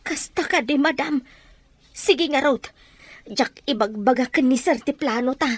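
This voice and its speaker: A woman's voice: high voice, unhurried, dry recording. An actress with an Ilocano accent playing an anxious, loyal female household helper in a radio drama. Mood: worried, deferential.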